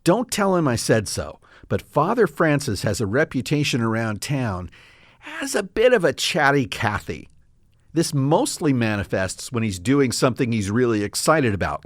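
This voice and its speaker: A man's voice: mid-range voice, no room sound, studio quality. A man narrating a scripted comic story in a wry, confiding way.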